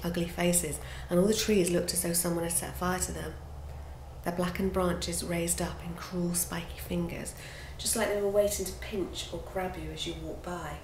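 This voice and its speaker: Woman reading her own storybook aloud. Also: lowish voice. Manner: expressive, lively reading aloud. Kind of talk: storybook narration. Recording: roomy with background noise.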